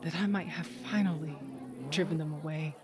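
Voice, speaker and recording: An actress playing a hardened, self-loathing monster hunter, narrating an audio drama in an intense, profane, confessional way. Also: female voice, low voice, fairly even intonation, moderate pace, a little mellow, natural voice. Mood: bitter, brooding. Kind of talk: first-person audio drama narration with acted dialogue. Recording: music bed behind the voice.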